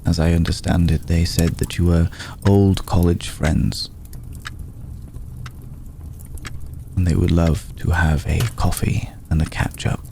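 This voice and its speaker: A man playing an ancient, aristocratic vampire in an ASMR roleplay, formal, hushed and gravelly, protective and vengeful, with deliberate pauses. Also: deep voice, noisy background. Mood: gentle, explaining. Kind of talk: ASMR roleplay, slow intimate monologue.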